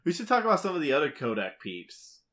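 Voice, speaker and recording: A man's voice: a little high, huge pitch swings, quick pace, fairly bright tone. A man co-hosting a podcast, chatting casually about a video game in an informal, opinionated way. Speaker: podcast co-host.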